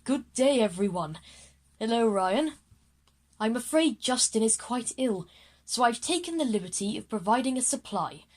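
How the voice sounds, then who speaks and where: Woman as a formal, courteous husky gentleman. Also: on the high side, expressive, normal speed. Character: polite, formal gentleman delivering news to a class. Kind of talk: scripted audio drama dialogue.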